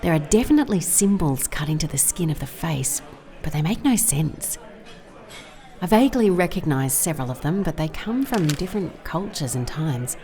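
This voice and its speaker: A woman's voice: low-pitched, dark tone. A woman playing a wry, methodical private investigator in an audio drama, musing and dictating case notes in a dry manner. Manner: dry, musing, clipped note-taking. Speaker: lead actor in audio drama. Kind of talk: first-person audio drama case log.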